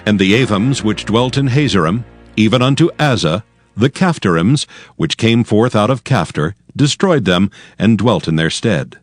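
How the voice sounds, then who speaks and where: Guy narrating scripture, formal and measured, lowish voice with lots of movement, music clearly behind him. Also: animated.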